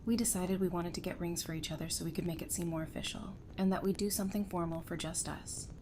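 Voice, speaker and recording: A woman's voice: steady tone, fast, lightly acted. A woman playing a supportive, frank, loyal friend in an audio drama, with a reassuring and candid delivery. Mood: happy. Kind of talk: fan audio drama dialogue. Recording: background noise with low rumble.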